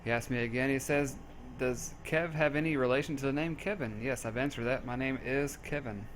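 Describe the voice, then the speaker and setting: A man's voice: medium pitch, a little fast, a little hiss or hum. A man with a Southern US accent, reading out and answering community questions in a casual, joking, easygoing way. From southern United States. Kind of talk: casual group Q&A.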